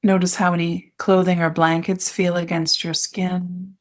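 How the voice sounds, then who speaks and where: A woman leading a guided meditation as an instructor, speaking in gentle, soothing phrases with pauses between them. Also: fairly low pitch, little pitch movement.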